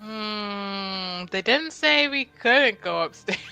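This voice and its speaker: A woman player thinks aloud at a tabletop game in a casual, playful way. Her voice is bright and her pace unhurried, with light music in the background. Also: mid-range voice, animated, vocal fry, clear, resonant tone. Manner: informal, giggly, thinking aloud.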